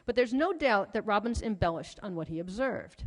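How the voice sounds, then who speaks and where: A woman's voice: medium pitch, lively intonation, moderate pace. A woman giving a prepared lecture to an audience, with an articulate, storytelling delivery and emphatic phrasing. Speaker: lecturer, author presenting research. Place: large hall at a conference. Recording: reverberant hall, music playing behind. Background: music.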